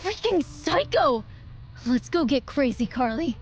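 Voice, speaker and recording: A woman's voice: high-pitched, very animated, a little slow, a little hiss or hum. A woman playing an earnest, impulsive, thrill-loving young wrestler in a game cutscene, speaking casually and expressively. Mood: excited.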